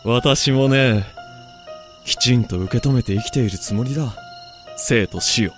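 An actor playing a calm, smug, philosophizing villain in a Japanese voice drama, polite and theatrical. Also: male voice, mid-range voice, unhurried, close to an everyday voice. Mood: smug, lecturing. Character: calm, smug, philosophizing male villain who experiments on life. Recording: music behind the voice.

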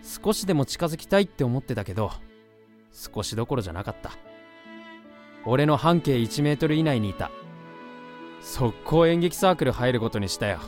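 A man's voice: medium pitch, sing-song, normal speed, pauses often, darkish tone, soft background music, natural voice. A young man playing an awkward, self-deprecating, lovesick university student in a voice drama. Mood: wistful, earnest. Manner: hesitant, earnest, blunt. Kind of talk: Japanese voice drama acting with narration.

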